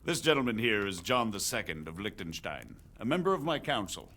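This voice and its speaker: A stately, shrewd nobleman in a game cutscene. His formal speech moves widely in pitch, with measured emphasis on key words. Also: very animated, sharp tone, full character voice. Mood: courtly. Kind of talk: dramatic game cutscene acting.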